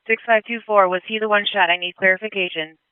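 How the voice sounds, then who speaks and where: A male police dispatcher speaking over radio traffic in a calm, clipped way, repeating back information. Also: high-pitched, fast talker, clean tone, no echo, phone-quality sound. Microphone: dispatch console radio.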